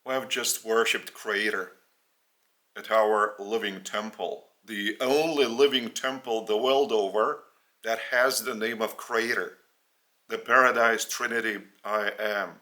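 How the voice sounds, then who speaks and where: A man from Lithuania with a Lithuanian accent, a native Lithuanian speaker, giving a spiritual teaching in English in short, halting phrases. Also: fairly low pitch, steady tone, sharp tone. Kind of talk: spoken religious teaching in English.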